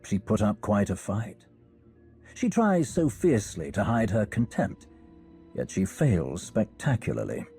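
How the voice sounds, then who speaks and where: A man voicing a composed, learned scholar in a game, soft and slightly husky, with polite, measured formality. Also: fairly low pitch, very animated, faint music behind. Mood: dryly disdainful. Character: courteous, learned male scholar of ancient relics, composed. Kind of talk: video game cutscene acting.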